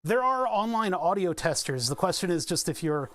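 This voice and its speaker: A man's voice: high-pitched, big pitch swings, normal speed, fairly bright tone, no room sound, clean studio sound. A man chatting casually on a live stream as he builds electronics, rambling, answering chat and laughing often.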